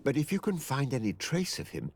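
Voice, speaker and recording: An actor playing a courteous older professor and mapmaker in a fantasy game, creaky-voiced, polite and wordy. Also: male voice, mid-range voice, big pitch swings, brisk. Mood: earnest, explanatory. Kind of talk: fantasy video game acting.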